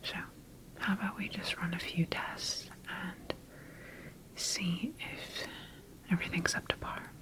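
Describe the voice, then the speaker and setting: A woman acts out a calm female scientist in a sci-fi ASMR roleplay. She whispers in a fairly low, breathy voice. Her delivery is gentle and measured, with a clinical air as she attends to her creation. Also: low-quality recording. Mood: gentle, reassuring.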